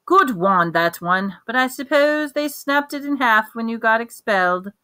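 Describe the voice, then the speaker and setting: A woman reading aloud voices an intense, eccentric old male wandmaker with a lilting, drawn-out delivery and sudden sharp emphasis. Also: a little high, expressive, no echo, voice pushed up. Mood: probing.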